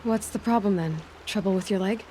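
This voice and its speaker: A woman's voice: medium pitch, a little fast, background noise, close to an everyday voice. Young huntress, acted by a woman, game dialogue, guarded, direct questions, resolute. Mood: guarded, questioning. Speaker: game protagonist.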